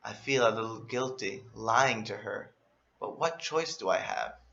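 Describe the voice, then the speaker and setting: A man reading a children's story aloud in an animated, expressive way, as a storyteller. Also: lowish voice, a little slow, creaky, highs cut off. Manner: expressive, acts out emphasis and exclamations.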